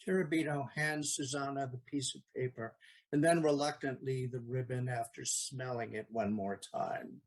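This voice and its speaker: A man's voice: mid-range voice, steady tone, normal speed. A man reading scripted stage directions as the narrator of an audio play.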